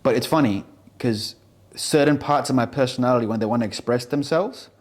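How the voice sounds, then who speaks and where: A man's voice: medium pitch, brisk. A man who grew up in Indonesia, with Urdu as his first language, hosting a casual podcast chat in a loose, jokey, slangy way with the odd swear word. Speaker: podcast host.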